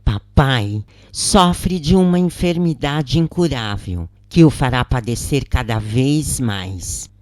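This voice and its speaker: A woman with a Brazilian accent reading a first-person account as a measured, expressive audiobook narrator. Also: a bass voice, no room sound, clean studio sound.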